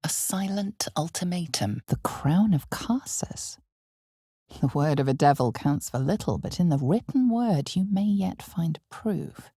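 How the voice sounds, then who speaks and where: A woman playing an ominous, all-knowing narrator of a dark fantasy video game, speaking in a measured, dramatic way with hushed intensity. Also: lowish voice, expressive, slightly rough, dry recording, clean studio sound, full character voice. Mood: hushed, foreboding. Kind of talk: scripted second-person fantasy game narration.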